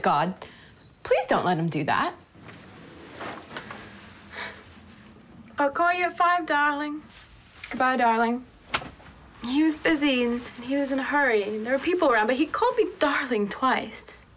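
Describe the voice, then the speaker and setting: A woman acting out a jittery young woman begging for a call, highish voice, up and down a lot, music behind. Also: big pitch swings, fairly quick, a little hiss or hum. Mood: desperate pleading. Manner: pleading, swings between whispers and outbursts. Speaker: actress performing a monologue. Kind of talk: dramatic monologue.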